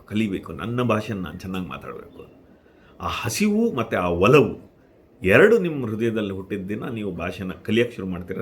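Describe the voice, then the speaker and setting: Low-pitched man giving an earnest lesson. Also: a little hiss or hum. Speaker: host giving language-speaking tips. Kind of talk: instructional talk to viewers.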